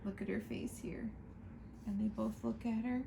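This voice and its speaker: A woman reading a picture book aloud to children, slow and expressive, pointing out the pictures as she goes. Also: a little high, gravelly, noisy background. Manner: slow, expressive storytime reading, asking listeners to look at pictures.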